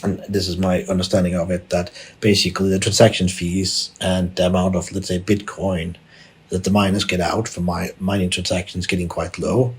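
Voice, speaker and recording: Very deep, husky-voiced man from Denmark. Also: flat delivery, normal speed. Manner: frequent restarts, repeated words and fillers. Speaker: podcast guest, tech executive. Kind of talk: conversational interview answers.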